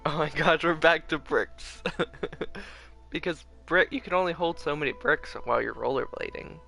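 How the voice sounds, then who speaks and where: A man's voice: a little high, normal speed, creaky, bright, forceful tone. A man streaming a video game with casual, playful, rambling chat and a giggly manner. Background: faint game music.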